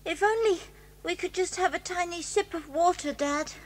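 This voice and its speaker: A woman's voice: very high voice, moderate pace. A woman voicing an eager, pleading young fox cub in a children's audio story.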